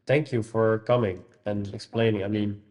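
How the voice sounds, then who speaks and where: Casting: Dutch-native male host, lowish voice, halting with lots of "uh" and restarts. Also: steady tone, darkish tone. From Netherlands. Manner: hesitant, many fillers and restarts. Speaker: hypnotherapist and podcast host. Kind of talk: casual interview chat.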